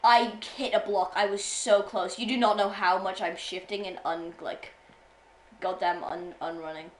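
A woman's voice: mid-range voice, steady tone, normal speed. A teenage girl streaming a game, chatting casually and teasingly with many fillers. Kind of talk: casual gaming stream chat. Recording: echoey room, faint music.

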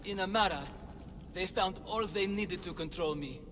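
A man's voice: sits high, normal speed, lightly acted. A man playing an anxious, melodramatic father, a silver-tongued swindler in a video game, with theatrical, faltering speech. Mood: grieving. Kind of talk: dramatic video game dialogue. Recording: long cavernous reverb, music behind.

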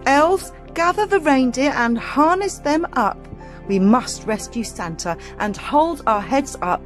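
A woman's voice: high voice, lively intonation, a little slow, faint music behind, pitched above the performer's own voice. A woman voicing Santa's determined, distressed wife in an earnest, pleading, emphatic storybook manner. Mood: urgent.

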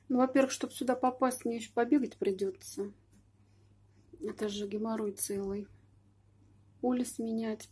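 A middle-aged woman talking casually and matter-of-factly about her own affairs, rambling with muttered asides, filming from her high-rise apartment window. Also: creaky, mellow, husky. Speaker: vlogger. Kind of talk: casual personal monologue. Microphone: phone camera pointed out the window.

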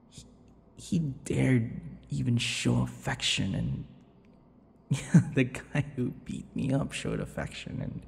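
A man playing a brooding, unhinged male vampire in an intimate ASMR roleplay, breathy and halting, often dropping to a whisper. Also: medium pitch, exaggerated voice. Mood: wistful, bitter. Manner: slow, halting, dramatic pauses and dark chuckles. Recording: long reverberant echo.

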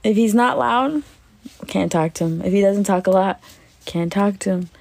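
A woman in her twenties chatting casually on a livestream, lying in bed and answering comments with lots of fillers, in a voice she calls deep. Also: normal speed, creaky, a little mellow, dry recording. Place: bedroom, lying on a bed indoors. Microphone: phone at arm's length.

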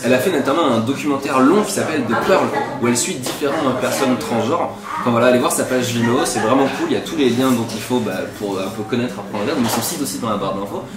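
A man's voice: medium pitch, fast talker, few pauses, a loud crowd. A man, co-hosting a web show in a casual, wry, colloquial way. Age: 20s. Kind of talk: casual presenting to camera. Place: diner booth, indoors. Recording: roomy sound under loud music.

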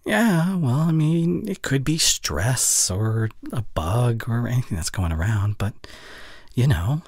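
An anxious, protective young boyfriend, acted by a man in an intimate ASMR roleplay. His voice is breathy and husky and moves around a lot in pitch. He speaks haltingly and at an unhurried pace, tender and devoted. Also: expressive, dry recording, clean studio sound. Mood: worried, soothing. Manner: intimate, halting, breathy sighs, stammering when flustered.

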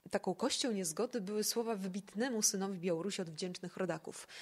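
A woman with a medium-pitched voice hosts a discussion podcast. She lays out prepared topics for her guests. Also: a little mellow. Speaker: podcast host.

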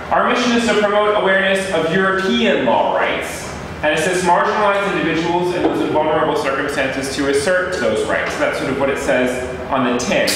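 A man, giving a conversational seminar lecture as a guest speaker to a class. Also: a little high. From United States. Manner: conversational, inviting questions. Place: classroom with audience. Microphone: far room camera. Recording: echoey room from far off with hiss.

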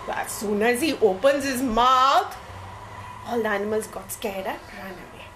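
A middle-aged woman telling a story to children in a large hall, animated and asking them questions. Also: fairly high pitch, normal speed, background noise.

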